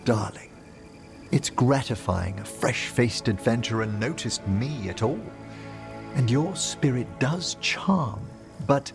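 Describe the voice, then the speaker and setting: An actor playing a courtly, fastidious older gentleman scholar in a video game, speaking tenderly in formal, precise phrases. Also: medium pitch. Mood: tender, romantic. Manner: genteel, formal, precise wording. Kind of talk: video game cutscene acting.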